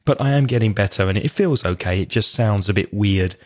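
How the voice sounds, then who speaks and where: Male English-teacher podcast host, currently croaky and raspy, explains things plainly. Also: lowish voice, fairly quick, darkish tone. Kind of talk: casual podcast chat.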